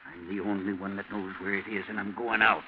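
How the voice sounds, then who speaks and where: An actor playing a stubborn, scheming convict with brash, slangy tough talk in a 1940s radio drama. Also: male voice, on the low side, steady tone, fairly quick, close to an everyday voice. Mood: scheming, determined. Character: stubborn, scheming male convict bent on escape. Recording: noisy, muffled 1940s radio recording.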